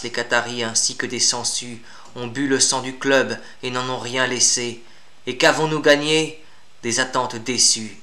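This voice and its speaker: A man's voice: mid-range voice, sharp tone. A man reciting formal classical verse in a solemn, declamatory and grandiloquent manner. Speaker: poet reciting own poem.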